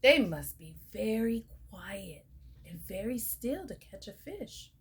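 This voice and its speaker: Slow, sing-song woman reading to kids. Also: mid-range voice, sing-song, breathy edge. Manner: sing-song, asks the listener questions. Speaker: storyteller. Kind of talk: reading a picture book aloud to children.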